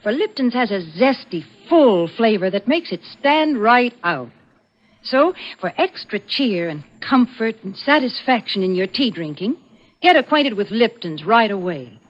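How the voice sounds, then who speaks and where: A cheery, wholesome sponsor's saleswoman from 1940s radio. Her voice is fairly high and very animated, with neat, persuasive phrasing. The broadcast sounds old and slightly muffled. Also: a little slow. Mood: cheerful selling. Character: cheery, wholesome female tea saleswoman sparring with host. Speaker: sponsor's spokeswoman.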